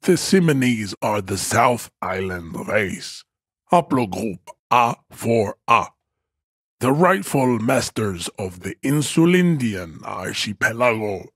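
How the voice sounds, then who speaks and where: A man's voice: on the low side, big pitch swings, takes it slowly, fairly bright tone, breathy edge, dry recording, studio quality, exaggerated voice. An actor playing an imposing, arrogant supremacist strongman in a video game, lecturing in a pompous, formal, declamatory way with grandiose contempt. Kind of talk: video game character acting.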